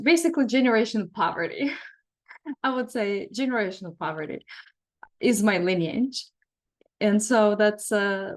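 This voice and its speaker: A middle-aged woman with a Russian accent, a therapist leading a casual workshop, talking thoughtfully and often restarting her sentences. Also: medium pitch. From Belarus.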